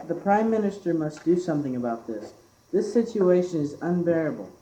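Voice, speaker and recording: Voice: medium pitch, big pitch swings, a little fast, mellow, natural voice. A young teenager playing an exasperated, formal British employer in an amateur school radio play. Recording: echoey room with faint noise.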